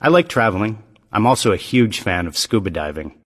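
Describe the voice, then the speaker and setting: Man, lower voice, measured scripted lesson reads; long hall reverb. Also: very animated, fairly bright tone. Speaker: dialogue reader in English lessons. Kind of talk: scripted practice dialogue.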